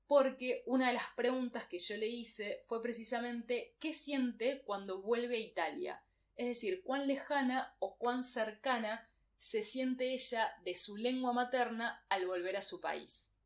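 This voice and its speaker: A woman hosts a literature podcast, explaining ideas in a measured, even way. She sets up quotations carefully and walks the listener through each point. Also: a little high. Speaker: podcast host. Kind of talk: reflective literary commentary.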